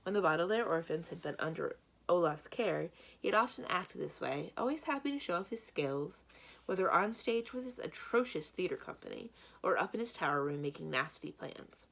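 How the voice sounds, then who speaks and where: A woman reading a children's book aloud as a library storyteller, with expressive narration. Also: on the low side, normal speed, creaky.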